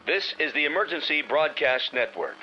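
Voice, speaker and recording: An actor playing a solemn male emergency announcer in a radio drama, reading formal bulletins in a measured, authoritative way through a radio filter. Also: on the high side, animated, sharp tone, faint music behind. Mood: urgent, official. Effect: old radio set filter. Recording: dull radio-filtered sound with faint noise.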